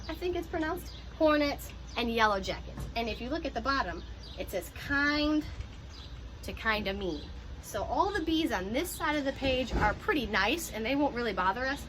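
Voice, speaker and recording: Lady with a highish voice reading a kids book out loud and explaining stuff simply, some noise behind her. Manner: simple, child-directed explaining. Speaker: teacher reading a children's book aloud. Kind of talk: picture-book read-aloud with simple explanations for young children.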